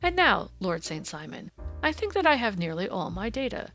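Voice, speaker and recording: A woman voicing a confident, amused gentleman detective who speaks precisely and teasingly in an audiobook story. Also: medium pitch, sing-song, normal speed, soft background music. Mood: assured, courteous.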